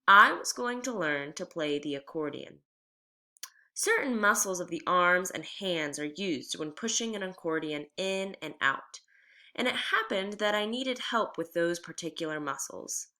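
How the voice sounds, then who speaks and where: A woman reading a children's memoir aloud like a teacher, with expressive, deliberate storytelling delivery. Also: lowish voice.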